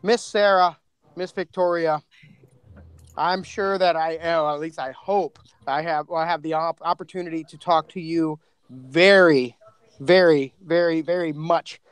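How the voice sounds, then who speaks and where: A man's voice: fairly high pitch, steady tone, measured pace, smooth. A man chatting casually in a group podcast, speaking in an effusive, rambling, informal way.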